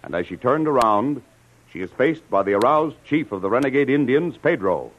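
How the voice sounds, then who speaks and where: A man's voice: mid-range voice, lively intonation, moderate pace, a little hiss or hum, natural voice. A man narrating an old radio adventure serial as announcer, in a dramatic, declamatory scripted style. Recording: dull lo-fi old radio recording.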